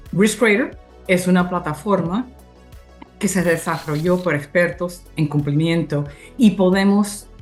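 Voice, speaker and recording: A low-voiced woman from Cuba hosts a webinar over faint music. Spanish is not her first language, so she searches for words as she gives semi-formal opening remarks. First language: not Spanish (Spanish is a second language). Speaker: host introducing a webinar.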